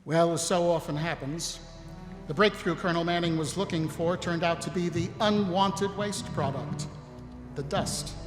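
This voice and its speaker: A man's voice: a little high, moderate pace, close to an everyday voice. A man acting an earnest, polite army captain in a live audio drama. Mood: reflective. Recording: echoey hall, music behind.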